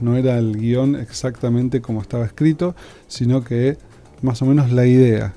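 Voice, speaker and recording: A man's voice: medium pitch, mellow. A man with an Argentine accent reminiscing in an interview about his radio work. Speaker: radio scriptwriter.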